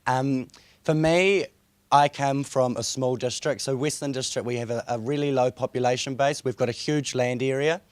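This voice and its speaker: Croaky young New man. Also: medium pitch, fairly even intonation, moderate pace, dry recording. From New Zealand. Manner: hesitant, many fillers and restarts. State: hoarse, croaky voice. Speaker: young district councillor. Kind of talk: panel discussion. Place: conference room.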